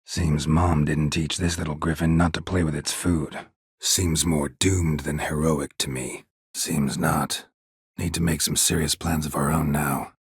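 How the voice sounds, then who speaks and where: A man voicing a gruff, stoic, dry-witted monster hunter in a video game, in a husky rasp with a terse, deadpan manner. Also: rumbling low, measured pace, no echo, full character voice. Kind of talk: video game character dialogue.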